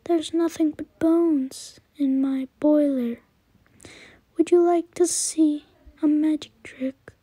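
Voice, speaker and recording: Burnt baby-doll horror animatronic, female performer: soft mellow tone, sugary menace, very dry close recording. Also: high-pitched, steady tone, slow, no room sound. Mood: hushed menace. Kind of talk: scripted horror character voice lines.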